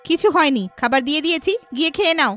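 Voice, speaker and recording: Woman acting a high, snappish young wife. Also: quick pace, soft background music. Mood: irritated. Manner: sharp, complaining. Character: jealous, snappish young wife resenting her mother-in-law.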